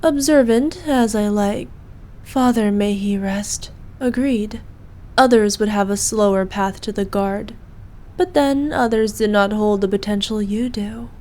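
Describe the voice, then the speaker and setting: A woman's voice: mid-range voice, unhurried, darkish tone, lightly acted. A woman playing an evil, imperious queen in a scripted roleplay, speaking in a haughty, formal, commanding manner. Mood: tender, gracious. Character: evil imperious queen, cruel to subjects, doting on her knight. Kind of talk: scripted ASMR roleplay acting.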